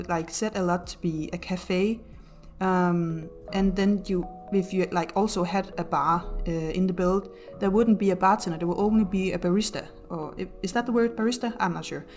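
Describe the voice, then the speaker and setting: A Danish woman with a Danish accent, talking through her build in a casual voice-over at home, halting with many ums and self-deprecating laughs. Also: mid-range voice, a little mellow, faint music behind. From Denmark. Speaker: YouTuber narrating a build video.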